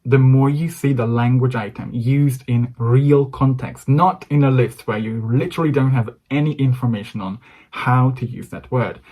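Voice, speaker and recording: A man's voice: mid-range voice, darkish tone. A young man with a Hungarian accent, a native Hungarian speaker, giving an encouraging to-camera English lesson. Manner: encouraging, direct address to viewer. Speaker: English coach.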